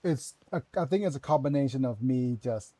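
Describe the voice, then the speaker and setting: Mellow-voiced man in his forties, rambling. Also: medium pitch, brisk, no room sound. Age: 40s. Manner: rambling, chatty, with frequent fillers and self-corrections. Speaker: streamer playing a game. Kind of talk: casual live gameplay chat.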